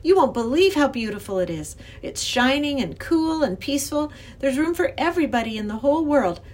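A woman voicing an earnest, excitable young girl who pleads and insists, in an acted children's storybook reading. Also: high-pitched, brisk, voice pushed up. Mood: coaxing.

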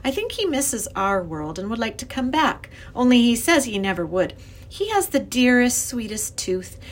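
A woman voicing an earnest, excitable young girl who pleads and insists, in an acted children's storybook reading. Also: on the high side, moderate pace, voice pushed up. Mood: coaxing.

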